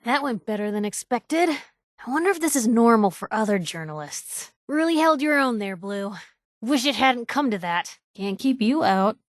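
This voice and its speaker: A woman's voice: fairly high pitch, expressive, moderate pace, no room sound, studio quality, lightly acted. A woman voicing a feisty young female journalist, a wry, wisecracking companion in a video game. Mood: weary, wry, then curious, impressed. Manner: wisecracking, sardonic, slangy. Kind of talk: video game voice acting, short combat and banter lines.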